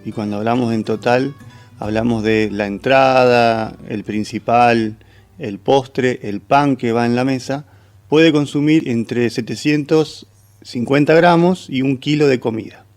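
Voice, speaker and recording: A man's voice: medium pitch, a little slow, darkish tone. A man explaining as a cook in a conversational, instructive way. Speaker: gastronomy professional, guest cook. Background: faint music.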